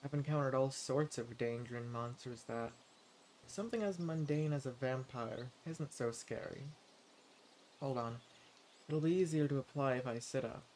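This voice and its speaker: A man's voice: mid-range voice, moderate pace, a little mellow, a little hiss or hum, natural voice. Man acting a mild wandering adventurer, birdsong behind. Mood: gentle, reassuring. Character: mild, level-headed wandering male adventurer. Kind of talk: audio roleplay acting addressed to listener.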